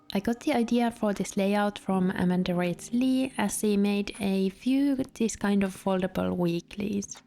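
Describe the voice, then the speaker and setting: A woman's voice: medium pitch, dark tone. A Finnish-speaking woman narrating her travel journal setup in a chatty voice-over, with light laughs. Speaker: journaling vlogger.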